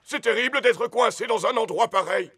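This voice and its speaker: High, boisterous old grandpa rabbit. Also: male voice, moderate pace, fairly bright tone. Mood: plaintive. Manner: boisterous, booming, emphatic. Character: blustering, food-loving old grandpa rabbit sailor. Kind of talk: children's cartoon voice acting.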